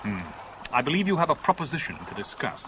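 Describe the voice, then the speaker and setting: A man's voice: a little high, very animated, quick pace. An actor playing a bitter, lovelorn man in a 1970s radio drama, sardonic and clipped. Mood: guarded, sardonic. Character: bitter, lovelorn man caught in a love rivalry. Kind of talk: 1970s British radio drama acting with narration. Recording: noisy old radio broadcast recording.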